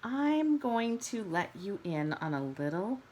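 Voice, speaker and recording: A woman kindergarten teacher reading aloud, pausing to explain and ask questions of young children. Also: medium pitch, very animated, a little mellow, muffled recording.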